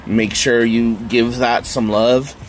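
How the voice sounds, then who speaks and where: Male podcast host, stuffed-up and nasal from a cold, unhurried delivery, chatty and rambling with loose fillers and the odd swear. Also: mid-range voice, level tone, slight background noise, poor audio. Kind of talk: casual solo podcast chat.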